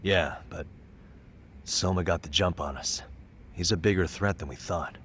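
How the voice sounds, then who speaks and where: An actor playing a level-headed detective in an English game dub, measured and explanatory as he briefs his allies. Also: low-pitched, a bit bright, slight background noise. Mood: serious, steady.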